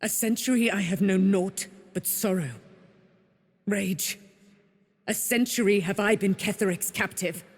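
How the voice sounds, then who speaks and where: A woman's voice: mid-range voice, measured pace, slightly rough, no echo, studio quality. A woman playing a proud immortal paladin, a moon goddess's warrior daughter, in a fantasy video game, speaking in grand, archaic, theatrical declamation. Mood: anguished.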